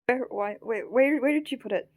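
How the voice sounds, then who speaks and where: A woman acting out a living puppet from a radio play, childlike and easily flustered. The voice sits high and pleads in nervous, stammering bursts. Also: normal speed, a little mellow, natural voice. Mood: panicked. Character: frantic, childlike living puppet clinging to its plush. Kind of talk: audio drama acting.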